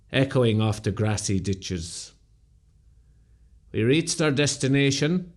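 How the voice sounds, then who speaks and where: An actor with an Irish accent playing a sober rebel veteran, narrating a radio play in a measured, reflective way. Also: male voice, medium pitch, darkish tone, lightly acted. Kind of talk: scripted dramatic narration.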